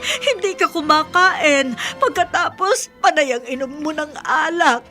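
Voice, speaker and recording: A woman's voice: high voice, expressive, unhurried, pushed and bright, heavily acted. An actress playing a worried older Filipino mother, pleading and admonishing in radio drama style. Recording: faint music behind.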